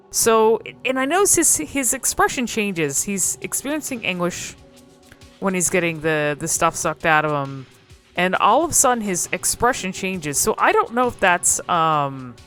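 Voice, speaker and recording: A woman's voice: fairly low pitch, fairly bright tone. A woman hosting casual, unscripted game commentary, musing and thinking aloud in a hesitant way. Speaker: video host exploring game cutscenes.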